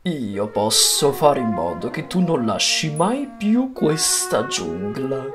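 A slippery, fawning snake villain from an old cartoon film. The high voice swoops wildly and stretches words out very slowly as it coaxes, with faint music underneath. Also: male voice, very animated. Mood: coaxing, slippery. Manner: wheedling, drawn-out delivery.

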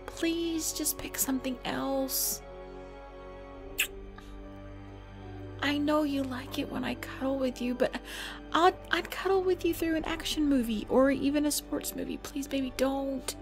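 A woman playing a cute, easily scared girlfriend in an intimate ASMR roleplay, pleading and whiny, often hushed. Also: high-pitched, moderate pace, music in the background. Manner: pleading, whiny, dropping into hushed self-talk. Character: cute, jumpy girlfriend frightened by scary movies. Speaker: roleplay audio performer.

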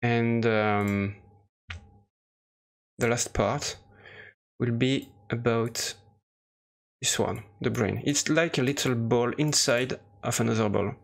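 Gravelly-voiced man giving a halting software tutorial. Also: medium pitch. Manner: hesitant, halting explanation. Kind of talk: unscripted screen-recorded tutorial narration.

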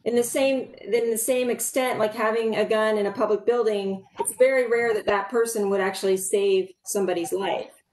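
A middle-aged woman hosting a public town hall over a video call, speaking earnestly with halting fillers from a room at home. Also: medium pitch, fairly even intonation, darkish tone. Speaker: town hall host, local supervisor. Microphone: video call webcam. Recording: echoey room, faint noise.

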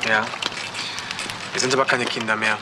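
A man's voice: on the low side, little pitch movement, moderate pace, close to an everyday voice. Actor as defiant young man, very noisy. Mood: dismissive. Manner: cool, dismissive. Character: defiant, impatient young man, a grown son. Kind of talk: crime radio drama acting. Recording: very noisy recording with clicks.

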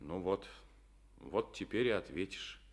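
Soviet radio drama: a coaxing, reassuring party secretary, lively pitch. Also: male voice, measured pace. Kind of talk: Soviet radio play acting.

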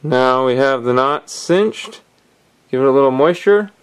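Man calmly walking through knot tying. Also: mid-range voice, a little slow, smooth. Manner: calm step-by-step explaining while tying. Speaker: fishing instructor. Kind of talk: hands-on knot-tying tutorial. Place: indoors at a wooden table.

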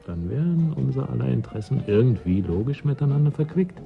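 An actor playing a cynical, calculating settlement dweller in a science-fiction radio play, sardonic and insinuating. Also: male voice, mid-range voice, expressive, brisk, creaky, faint music behind. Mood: sly, calculating. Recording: dull lo-fi radio play recording.

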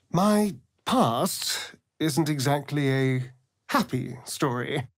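A man's voice: medium pitch, expressive, very slow, no echo, studio quality. A man playing a vain, sardonic, centuries-old vampire rogue in a video game, with an arch, theatrical delivery. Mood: bitter, brooding. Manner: arch, flamboyant, drawling, mocking. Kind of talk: video game character acting.

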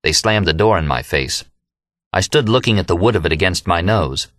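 A man's voice: low voice, expressive, fairly quick, fairly bright tone, no echo, clean studio sound. A man with an American accent reads a first-person novel as an audiobook narrator.

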